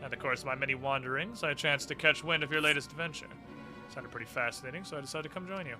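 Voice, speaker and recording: A man's voice: fairly high pitch, fast, music in the background, natural voice. A man voicing a smug, flippant former military villain turned uneasy ally, paraphrasing game dialogue in a casual, mocking way.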